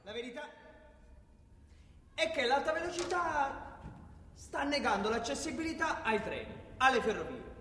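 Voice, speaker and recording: A man's voice: extremely high pitch, sing-song, moderate pace, pauses often, background noise. A man narrating a polemical radio play in an emphatic, declamatory, theatrical style. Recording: echoey hall with music.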